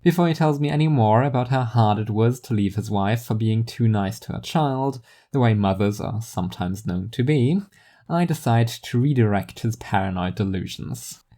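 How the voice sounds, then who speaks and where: A narrator delivers first-person fiction in a dark, mellow voice of medium pitch. The reading is scripted, wry and literary in manner. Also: normal speed. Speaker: narrator and storyteller.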